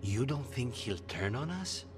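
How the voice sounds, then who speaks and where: A man's voice: medium pitch, big pitch swings, measured pace, over music. A man playing a grim, distrustful adventuring companion in a fantasy video game. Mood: wary, distrustful.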